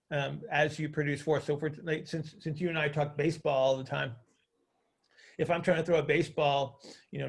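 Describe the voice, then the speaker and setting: Gravelly, higher-pitched man coaching a call. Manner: didactic, checks understanding with questions, repeats phrases for emphasis. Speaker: coach hosting a group call, teaching. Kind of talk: conversational coaching on a conference call.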